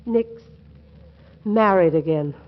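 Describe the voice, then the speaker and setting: An actress playing a genteel, flustered older mother, halting and fluttery, in 1940s radio comedy style. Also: lowish voice. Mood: shocked, hesitant. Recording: dull 1940s radio recording.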